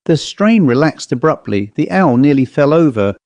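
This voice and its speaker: A man reading a literary ghost story as an audiobook narrator, in a measured storytelling manner. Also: a little high, very animated, a little mellow, no echo.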